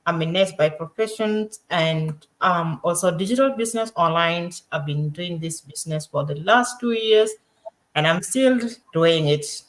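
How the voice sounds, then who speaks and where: A woman nurse from South Sudan introduces herself on a video call from home late at night. Her voice sits a little low, and she sounds eager and happy to chat with everyone. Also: unhurried.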